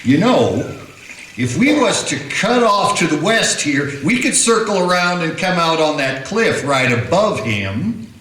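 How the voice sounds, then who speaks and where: A man playing a timid, complaining frontier sidekick in a community radio play, fussing in a slow drawl. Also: on the high side, very animated, fairly bright tone, slight background noise. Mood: fretful. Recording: echoey room.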